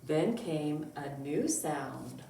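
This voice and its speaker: A woman reading a picture book aloud to young children as a storytime librarian, with drawn-out words and dramatic emphasis. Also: low voice, lively intonation, mellow. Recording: roomy echo, distant mic, faint noise.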